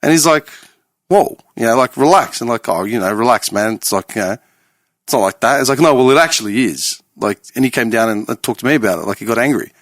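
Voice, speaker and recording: A man's voice: medium pitch, expressive, fast, fairly bright tone, no echo, studio quality. A man, co-hosting a casual podcast chat, talking emphatically and swearing often. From Australia. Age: late 30s. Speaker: podcast co-host.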